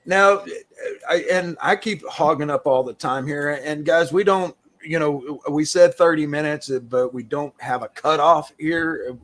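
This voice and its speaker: A middle-aged man with a drawl runs the interview in a chatty, folksy way. His voice sits a bit higher than most men's. He rambles warmly and keeps the talk easy. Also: measured pace. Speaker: podcast host. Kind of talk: casual interview chat.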